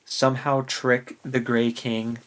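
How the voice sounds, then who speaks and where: A podcast co-host chatting casually about books, recapping in an animated way with relaxed slang. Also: medium pitch, slow. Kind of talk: casual book discussion chat.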